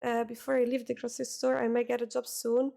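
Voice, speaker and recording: A young Italian woman talks casually to her stream chat at a desk mic. Her voice sits a little high, and she repeats words as she goes. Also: little pitch movement, quick pace, creaky, mellow. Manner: chatty, repeats words, reads chat aloud.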